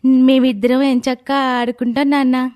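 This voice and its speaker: Little daughter, played by a woman: high voice, chirpy, childlike, eager and fond, for a storybook tale. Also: normal speed, close to an everyday voice. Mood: excited. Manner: excited, childlike, affectionate.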